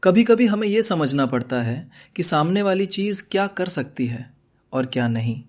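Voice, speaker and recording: A man voicing a wise, patient court adviser in a children's moral-story cartoon, polite and deliberate. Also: sits high, lively intonation, a little mellow. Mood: calm, cautious.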